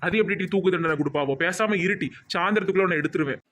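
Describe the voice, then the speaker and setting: A man voicing excitable, fussy village men in a comic cartoon, emphatic, with a Tirunelveli Tamil accent. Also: sits high, very fast. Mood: exasperated. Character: excitable village men: indignant doctor, devoted newlywed husband.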